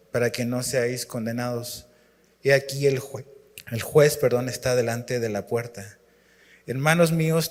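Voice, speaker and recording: Male guest preacher, mid-pitched, halting and relaxed, church hall reverb. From Chile. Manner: relaxed, halting with frequent fillers and restarts. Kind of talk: sermon with scripture reading.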